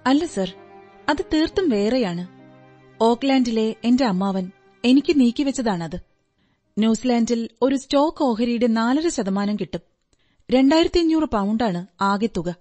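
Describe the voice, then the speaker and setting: An earnest, talkative woman client with a fairly high, soft-toned, mellow voice. She explains herself politely and in detail in radio-play style, over music. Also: expressive, normal speed. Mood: candid, explaining. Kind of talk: radio drama acting. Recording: radio drama with music behind.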